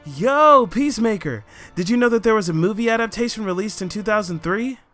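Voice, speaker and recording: A young American man co-hosting a scripted countdown with excitable, hammy exasperation. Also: sits high, a little fast. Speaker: co-host of a countdown show. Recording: music under the voice.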